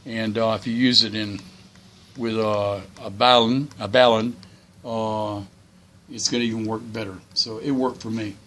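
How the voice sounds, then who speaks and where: A man's voice: on the low side, level tone, a little slow, a bit bright. An older man giving casual, unscripted hobby tips in a folksy, plain-spoken, rambling way. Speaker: hobbyist video host.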